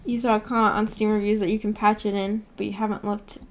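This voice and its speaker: A woman's voice: medium pitch, level tone, quick pace, a little hiss or hum. Casual woman chatting on a livestream. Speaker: livestream host of a visual novel book club. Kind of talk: casual livestream chat, replying to viewer comments.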